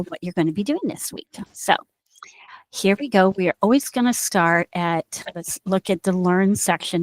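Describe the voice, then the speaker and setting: A woman's voice: on the low side, no echo, low-quality recording. A middle-aged woman with an Indiana accent, an instructor explaining a course module in a recorded online lecture, with fillers and restarts. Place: small office with bookshelves. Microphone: headset.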